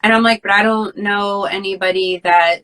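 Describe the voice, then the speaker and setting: A middle-aged woman tells her story in a clear, bright voice with an earnest, heartfelt manner. Her tone stays conversational as she sometimes voices her past thoughts aloud. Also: medium pitch, steady tone, moderate pace, rough recording. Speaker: podcast guest.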